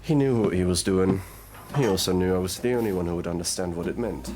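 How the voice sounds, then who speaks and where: A man playing a gruff, sardonic detective in an audio roleplay drama, drawling and murmuring through his pauses. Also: low-pitched, close to an everyday voice. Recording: music behind the voice.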